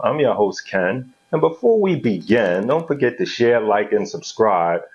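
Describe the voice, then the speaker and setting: Laid-back low-voiced man hosting. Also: darkish tone. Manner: laid-back, slow, encouraging with frequent "right". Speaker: podcast host. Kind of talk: casual interview. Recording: dull lo-fi audio.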